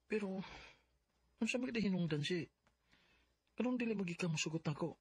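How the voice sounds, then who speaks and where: Lovesick young suitor, Bisaya accent, slightly high husky voice, earnest, insecure, radio drama. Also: male voice, expressive, a little fast, dry recording. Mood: pleading. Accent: Filipino, Bisaya.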